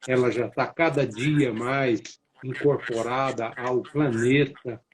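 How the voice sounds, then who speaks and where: A man's voice: mid-range voice, fairly even intonation, takes it slowly. A middle-aged or older man with a central Brazilian accent, speaking casually as a guest and gratefully addressing his audience. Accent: central Brazilian Portuguese. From Minas Gerais, Brazil. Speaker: guest, therapy co-creator. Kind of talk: casual live-stream talk.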